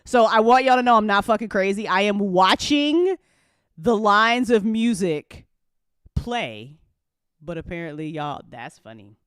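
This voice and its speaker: An excitable woman hosts a casual online hangout, talking straight to her chat. Her voice is bright and forceful, with clean, crisp edges. She is informal and giggly, swears freely and keeps building the hype. The sound is dry, with no room around her. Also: medium pitch, measured pace, no echo. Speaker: host of a podcast listen party. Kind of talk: casual live-stream chat.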